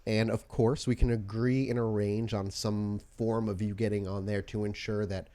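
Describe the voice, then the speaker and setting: A man playing a blunt, wary female vampire negotiator in a tabletop game, speaking in a plain, halting, guarded way. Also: fairly low pitch, flat delivery, mellow, natural voice. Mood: cautious. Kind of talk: tabletop roleplay in character.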